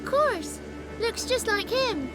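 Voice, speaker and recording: Casting: a blunt little swamp orphan in a fantasy game, piping high voice over clear music. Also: female voice, lively intonation, slow pace, heavily acted. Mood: matter-of-fact. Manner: blunt, rustic ungrammatical talk. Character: cheeky, blunt peasant orphan child in a swamp. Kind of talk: video game character acting. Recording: music behind the voice.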